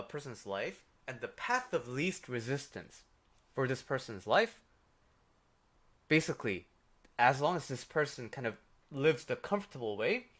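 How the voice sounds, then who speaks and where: A man with a Korean accent talks through life advice in a relaxed livestream, his voice sitting at a middle pitch. He speaks in a loose, conversational way, leaning on fillers and asking "right?" as he goes. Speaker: life coach. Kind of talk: casual livestream advice talk.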